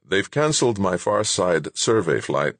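A man's voice: medium pitch, big pitch swings, normal speed, breathy edge, no echo, pitched above the performer's own voice. A man voicing a stubborn, self-absorbed male scientist who protests insistently in an audiobook. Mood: angry, insistent. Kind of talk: acted audiobook dialogue.

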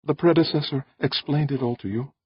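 A man voicing a gentle, tender alien woman in an audiobook. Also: fairly high pitch, fairly quick, husky, dry recording, highs cut off, pitched above the performer's own voice. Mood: tender concern. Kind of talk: audiobook character voice.

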